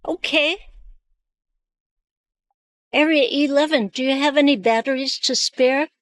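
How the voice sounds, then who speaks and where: A woman's voice: sits high, unhurried, a bit bright. A woman speaking as a radio communicator in a scripted training exchange.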